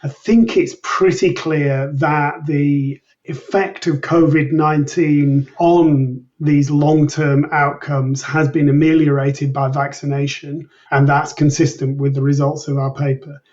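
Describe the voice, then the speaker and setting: A man's voice: on the high side, little pitch movement, unhurried, hardly any pauses, a little mellow. A man giving careful, precise explanations as an expert guest in an interview. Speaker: interview guest, medical researcher. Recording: distant, dull, lo-fi remote line.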